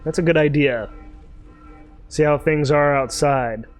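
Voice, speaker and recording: Male let's player, fairly high voice, unhurried delivery, playful theatrical read of game dialogue. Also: faint music behind. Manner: playful, theatrical reading with off-the-cuff asides. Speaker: let's play host. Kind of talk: let's play commentary, reading game dialogue aloud.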